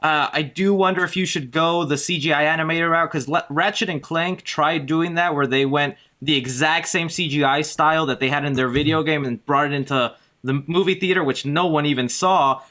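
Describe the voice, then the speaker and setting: A man with a bright, slightly high voice takes part in a podcast panel. His delivery is casual and conversational. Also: steady tone, a little fast, few pauses. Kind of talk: casual chat.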